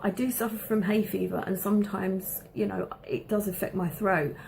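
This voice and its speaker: Chatty woman with a gravelly voice. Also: medium pitch, steady tone, normal speed, darkish tone, a little hiss or hum, low-quality recording. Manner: chatty, many ums, repeats words for emphasis. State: slight cough and dry throat. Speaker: vlogger showing a food haul. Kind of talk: casual chat to camera. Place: at home.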